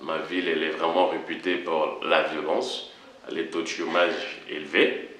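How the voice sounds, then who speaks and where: A man with a very deep voice asks plain, direct questions and speaks about his town. The recording is roomy, with a faint hiss underneath. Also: fairly even intonation, normal speed, a bit bright. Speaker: interviewer and interviewee. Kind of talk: documentary interview.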